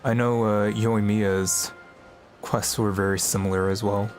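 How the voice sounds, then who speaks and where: A man's voice: on the low side, level tone, unhurried, faint music behind. A man livestreaming a game with casual, chatty commentary.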